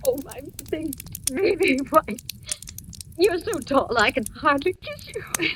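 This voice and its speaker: A woman's voice: sits high, very animated, unhurried. An actress playing a doting, emotional middle-aged mother in an old radio drama, fussing tenderly as she speaks. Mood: overjoyed tender. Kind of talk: 1940s-50s radio drama acting. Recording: noisy old radio recording with clicks.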